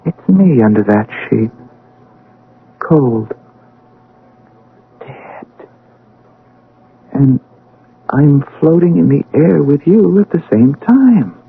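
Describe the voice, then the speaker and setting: An actor playing an awestruck scientist out of his body, halting and dramatic, in an old-time radio drama. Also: on the high side, big pitch swings, gravelly, breathy edge, heavily acted. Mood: bewildered, grave. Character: awestruck scientist floating outside his own dead body. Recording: narrow-band, noisy old radio broadcast.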